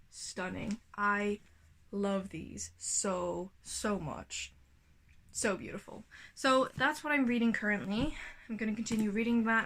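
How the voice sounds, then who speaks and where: A woman's voice: mid-range voice, a bit bright. A woman, giving a gushing, chatty reading update at home. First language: Dutch. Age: 20s. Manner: chatty, gushing, self-correcting. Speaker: book vlogger. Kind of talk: casual reading vlog update.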